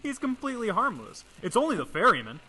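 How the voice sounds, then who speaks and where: An actor playing an excitable, naive newspaper reporter in an old-time radio drama, exclamatory and gee-whiz. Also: male voice, sits high, fast, slight background noise, heavily acted, low-quality recording. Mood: chipper.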